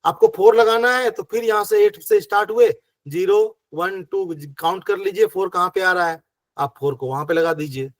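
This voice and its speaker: A man with a high voice teaches over a video call, slowing down to count points step by step. His throat is sore and he fights a cough. The sound is dry and close. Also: no echo. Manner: patient, counts numbers step by step. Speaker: acupressure teacher. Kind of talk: online class explanation.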